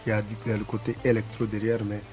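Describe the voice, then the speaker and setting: Low-voiced man, halting speech. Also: completely flat delivery. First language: Sara. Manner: halting, repeats words while searching for them. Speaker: musician guest. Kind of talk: casual interview answers.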